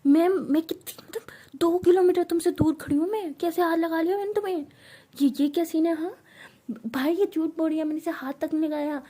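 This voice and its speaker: A woman's voice: sits high, fairly quick, warm tone, voice pushed up, close to an everyday voice. A woman doing a blunt, scolding young woman. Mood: indignant. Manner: scolding, sarcastic. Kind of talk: storytelling voice acting.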